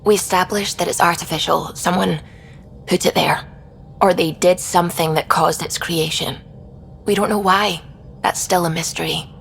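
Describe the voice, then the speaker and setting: A woman playing a thoughtful, devout scientist on a space expedition, explaining things in a measured, reflective way in video game dialogue. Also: fairly low pitch, steady tone, gravelly, fairly bright tone, slight background noise. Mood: explanatory. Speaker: science officer character.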